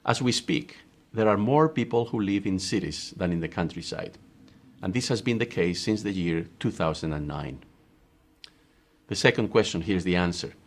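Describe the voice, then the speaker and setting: A middle-aged professor from Spain lectures with a Spanish accent. His voice sits very low. He speaks in a measured, emphatic way and poses questions to his students. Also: expressive. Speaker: university professor. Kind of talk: recorded online course lecture.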